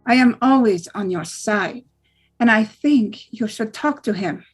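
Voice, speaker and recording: An actress playing a supportive, gentle young wife at a film script read. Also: female voice, on the high side, animated, measured pace, slightly rough, faint music behind. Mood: reassuring.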